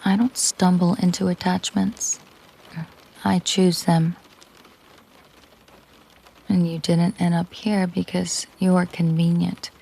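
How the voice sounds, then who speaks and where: A woman playing a possessive, commanding mafia boss girlfriend in an intimate roleplay audio drama, speaking softly and deliberately, often slipping into a whisper. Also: on the low side, little pitch movement, gravelly, breathy edge, heavily acted, rough recording. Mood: cool, probing. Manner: slow, deliberate, coolly possessive.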